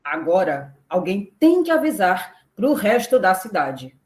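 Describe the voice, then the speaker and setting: A woman's voice: medium pitch, very animated, a little slow. A woman with a Brazilian accent narrating a scripted radio serial in a theatrical announcer style. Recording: roomy echo, music behind. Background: music.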